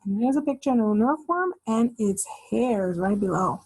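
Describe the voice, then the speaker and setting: A woman's voice: a little high, expressive, moderate pace, a little mellow, husky, no echo, rough recording. A woman reading a children's nonfiction book aloud, explaining as she goes and pointing out the pictures. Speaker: read-aloud host for children.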